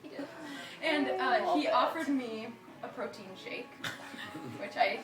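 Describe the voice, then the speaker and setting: A woman's voice: fairly high pitch, unhurried, echoey room, distant mic, rough recording. A young woman from Michigan telling a personal story in a chatty, giggly way, with many fillers and bursts of laughter.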